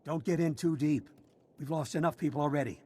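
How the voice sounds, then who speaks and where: Man acting a higher-pitched, battle-worn prison officer. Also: fairly quick, warm tone, lightly acted. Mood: businesslike. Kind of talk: video game character acting.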